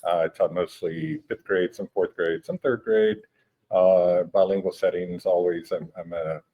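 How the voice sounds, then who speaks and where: A man in his forties whose first language is Spanish, explaining things in a relaxed interview with many hesitations and restarts. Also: low-pitched, unhurried, phone-quality sound. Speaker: guest, education technology company director. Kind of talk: conversational interview. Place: conference venue.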